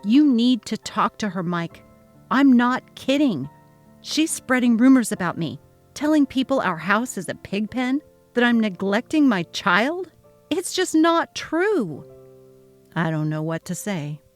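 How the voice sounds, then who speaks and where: Brief: woman reads exasperated young mom, sharp and emphatic, dramatic text-story narration. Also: fairly high pitch, expressive, measured pace, faint music behind. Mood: defiant. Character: exasperated young mother, fed up and defiant.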